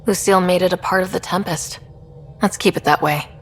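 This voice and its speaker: A young woman starship leader from a video game, played by an actress. Her voice sits a little low, conversational and steady with a wry edge. Music plays faintly behind her. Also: fairly quick, natural voice. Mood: matter-of-fact.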